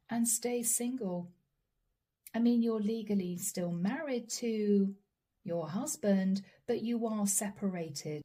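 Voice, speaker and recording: A woman doing a channeled tarot reading straight to the viewer, earnest and apologetic, her voice going hoarse. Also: mid-range voice, mellow. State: losing her voice. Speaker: tarot reader.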